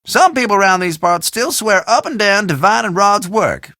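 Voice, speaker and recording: A man's voice: sits high, lively intonation, moderate pace, sharp tone, no echo, studio quality, exaggerated voice. A man playing a chatty, forgetful small-town shopkeeper in a video game, with a folksy, theatrical Southern country drawl. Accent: American Southern or South Central country.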